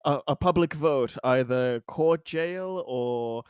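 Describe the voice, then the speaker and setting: A man playing a tabletop roleplaying game, speaking casually and haltingly, often stammering and repeating words. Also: mid-range voice, darkish tone.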